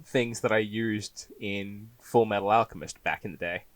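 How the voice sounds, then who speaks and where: A man's voice: fairly low pitch, fairly even intonation, moderate pace, no echo. Laid-back man chatting as interview guest, dry sound. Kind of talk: casual interview chat.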